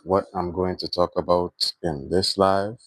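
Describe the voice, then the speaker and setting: A man with a Trinidadian accent hosting a livestream, talking in a reflective, unhurried way with lots of "you know" and "right". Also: low voice, level tone, fairly bright tone, no room sound. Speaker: livestream host. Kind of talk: spiritual livestream monologue.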